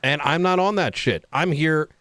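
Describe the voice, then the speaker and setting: A man's voice: fairly high pitch, normal speed, fairly bright tone, close to an everyday voice. A man playing a blunt, foul-mouthed police chief in an improvised roleplay argument, talking casually and argumentatively. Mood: defiant, bargaining.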